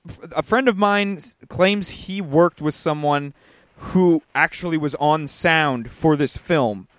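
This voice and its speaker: Man, podcast co-host, slightly high pitch, emphatic, repeats for effect, dry. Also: slow, no echo. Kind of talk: casual movie review chat.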